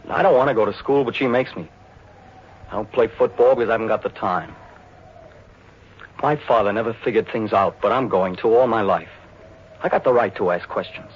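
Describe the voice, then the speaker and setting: A man's voice: lowish voice, slightly rough. An actor playing a guarded, serious working-class teenage boy, terse and defiant, in a 1940s radio drama. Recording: muffled, noisy 1940s radio recording.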